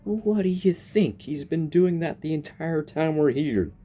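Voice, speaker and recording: A game streamer giving casual, expressive, exclamatory reactions to a story. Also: medium pitch, measured pace. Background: game music.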